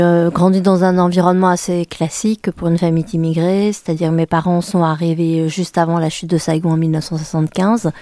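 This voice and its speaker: A woman in her forties from France, a journalist guest speaking thoughtfully with many pauses, fillers and restarts. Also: deep voice, dark tone, no room sound. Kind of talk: reflective interview talk.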